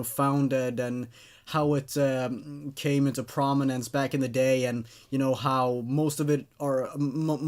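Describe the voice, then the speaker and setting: A man's voice: mid-range voice, darkish tone. A man hosting a horror story show, speaking in an enthusiastic, emphatic, casual review style and often repeating words. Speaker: host reading and reviewing horror stories.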